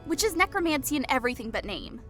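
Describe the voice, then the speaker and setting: Woman playing an impatient officer, high bright voice, brisk, snappish, soft music behind. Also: wildly swooping pitch, full character voice. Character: sharp, impatient woman officer giving reports. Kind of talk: audiobook dialogue reading.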